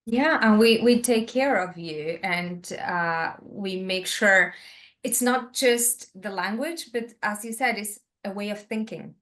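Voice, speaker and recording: Unhurried woman podcast host. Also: mid-range voice, fairly bright tone. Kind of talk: conversational interview.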